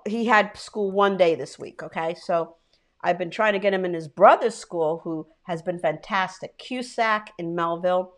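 A woman's voice: lowish voice, moderate pace. A chatty New woman, a little tipsy, co-hosting a casual podcast. She laughs easily and keeps restarting and trailing off her sentences. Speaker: podcast co-host. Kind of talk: casual chat.

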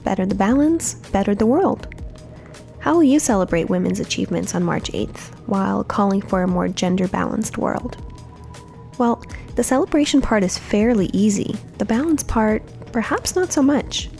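A woman hosts a corporate podcast in an upbeat, friendly read. Her voice is mellow and dark, with a gravelly fry at the ends of phrases. Soft music plays faintly behind her. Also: mid-range voice, animated, normal speed. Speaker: podcast host from a communications team. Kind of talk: scripted podcast narration.

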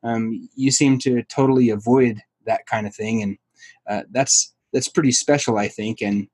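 A man hosting a podcast, welcoming a guest in a halting way with plenty of fillers. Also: mid-range voice, steady tone, a little mellow, husky, dry recording. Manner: halting, hesitant, lots of fillers. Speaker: podcast host. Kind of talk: casual interview chat.

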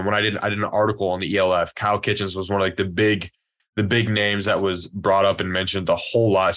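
A young man from Louisiana, co-hosting a casual sports podcast in a relaxed, rambling way. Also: lowish voice. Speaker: podcast co-host.

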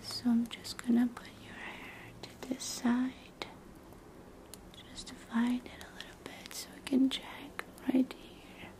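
A woman whispering softly and closely as a doctor in an ASMR roleplay, giving gentle, reassuring bedside talk into a binaural mic. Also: a little high, completely flat delivery, takes it slowly. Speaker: ASMR creator role-playing a doctor.

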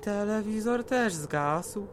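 An actor playing a casual, easily excited teenage son in an audio drama. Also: male voice, high voice, slow pace, noisy background. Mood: breezy, concerned. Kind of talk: Polish audio drama dialogue.

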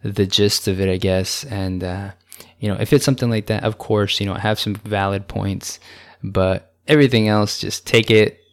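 A young man hosting his own podcast, talking alone in a calm, easygoing way, rambling with many fillers and restarts. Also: on the low side, moderate pace. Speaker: podcast host. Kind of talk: casual solo podcast monologue.

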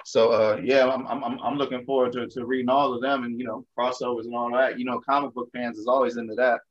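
A man's voice: medium pitch, little pitch movement, quick pace. A man hosting a casual interview, informal and slangy, stammering over repeated words, on slightly dull audio. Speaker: interview host.

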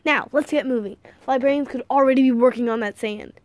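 Eccentric old grandfather played by a woman, urgent and exclamatory, quaint turns of phrase, raised pitch, storybook style. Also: quick pace, a little mellow, dry recording, natural voice. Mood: urgent, intent. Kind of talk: audiobook character voice.

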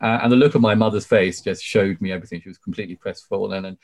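A man's voice: sits low, fast talker, darkish tone. A British man in his forties telling his story as an interview guest, emphatic and effusive, peppering it with "you know". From UK, born in Sri Lanka. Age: 40s. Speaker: podcast guest, barrister.

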